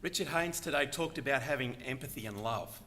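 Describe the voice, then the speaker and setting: Older guy on a conference panel, earnest and reflective, bright forceful voice, bit of room hiss. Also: medium pitch, expressive, brisk. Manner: earnest, reflective, quotes other speakers. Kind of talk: prepared panel speech. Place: conference hall.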